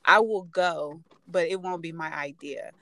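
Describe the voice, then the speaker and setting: A woman's voice: lowish voice, measured pace, pushed and bright, no room sound. Rambling casual woman in her thirties. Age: 30s. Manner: loose, rambling, restarts lines. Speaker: podcast co-host. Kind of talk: casual chat.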